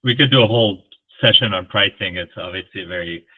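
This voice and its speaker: Moderator, man, deep voice, asks rambling questions, tinny call audio. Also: fast, breathy edge. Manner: loose, rambling questions with restarts. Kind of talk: interview questions. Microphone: video call.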